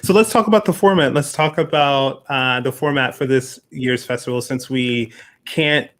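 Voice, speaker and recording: A man's voice: mid-range voice, moderate pace, fairly bright tone. A man hosting a casual discussion as a festival producer, speaking with enthusiasm and emphatic repetition.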